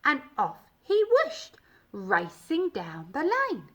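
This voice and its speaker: Lady reading a kids storybook, slow and dramatic, voice swoops all over. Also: sits high, wildly swooping pitch, a bit bright. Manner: dramatic, emphatic. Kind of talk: expressive children's storybook reading.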